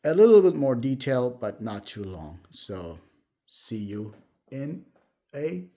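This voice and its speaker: Soft, mellow man telling his story. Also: mid-range voice, big pitch swings, slow. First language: not English. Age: late 40s. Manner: hesitant, candid, reflective. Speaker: vlogger. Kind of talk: personal life story told to camera.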